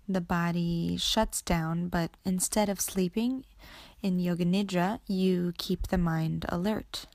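A woman guiding a meditation in a soothing, unhurried way, with breathy ends to her phrases. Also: on the low side, a little mellow, no echo. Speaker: yoga nidra meditation guide. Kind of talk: guided meditation narration.

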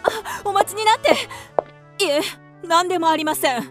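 A woman playing a proud, fiery and fickle widow in a theatrical radio drama, sharp-tongued yet formal. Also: extremely high pitch, steady tone, fairly quick, soft background music. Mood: flustered anger. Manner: haughty, sharp-tongued, formal feminine speech.

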